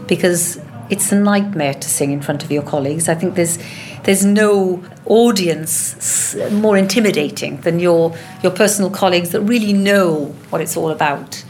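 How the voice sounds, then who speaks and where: A woman's voice: on the low side, normal speed, a little mellow. A woman who directs an opera studio, talking about her singers with eager emphasis. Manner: enthusiastic, emphatic, laughs easily. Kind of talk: interview and coaching talk. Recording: faint background noise.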